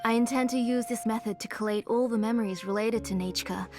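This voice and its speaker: A woman's voice: fairly high pitch, natural voice. A woman playing a weary elderly aunt. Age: older. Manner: soft, tired, measured. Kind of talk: video game dub acting. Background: game music.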